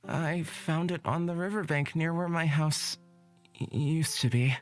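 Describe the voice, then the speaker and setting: A woman voices a gentle young forest dweller in a low, unhurried voice. The delivery is hesitant and cautious, in visual novel game style, with faint music behind.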